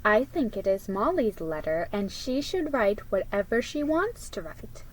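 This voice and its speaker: Woman reading as a gentle, unhurried mother. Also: medium pitch, big pitch swings, slight background noise, poor audio. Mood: calm, firm.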